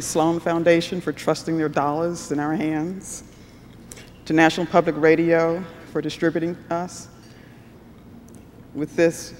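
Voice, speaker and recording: An award winner giving an acceptance speech as a radio producer. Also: medium pitch. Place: large hall at an awards ceremony. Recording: echoey hall with background noise.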